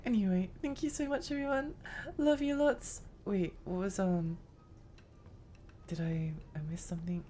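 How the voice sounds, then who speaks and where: A woman live-streaming a game, chatting in a loose, rambling way and giggling often. Her voice sounds strained and worn, and she talks at a middling pitch. A faint hiss of background noise sits under her voice. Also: a little slow, warm tone. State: strained, tiring voice.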